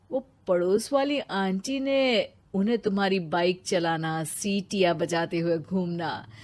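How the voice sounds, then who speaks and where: A woman acts out a young husband in a cartoon family story. He is gentle and conciliatory, reasoning in a soothing way. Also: medium pitch, voice pushed down. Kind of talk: animated moral story acting.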